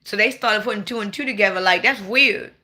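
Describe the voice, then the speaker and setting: Bright-voiced playful woman in her forties. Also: mid-range voice, brisk. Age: 40s. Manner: blunt, playful, swings to sudden loud outbursts. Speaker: livestream host. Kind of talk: casual livestream chat.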